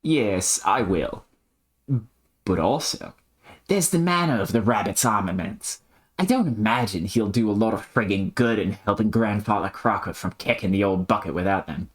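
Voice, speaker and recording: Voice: deep voice, expressive, moderate pace. A reader voicing an earnest young adventurous man in an attempted Australian accent, with hammy, quaint exclamations.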